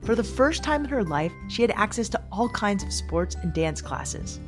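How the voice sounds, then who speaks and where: A woman's voice: medium pitch, big pitch swings, moderate pace, faint music behind. A woman hosting a podcast, moving between scripted narration and interview questions. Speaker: podcast host.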